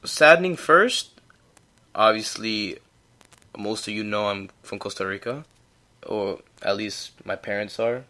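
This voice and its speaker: A man's voice: lowish voice, measured pace, pauses often, bright, forceful tone. A young man casually talking through a solo sports recap, chatty and rambling with laughs. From United States. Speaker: podcast host.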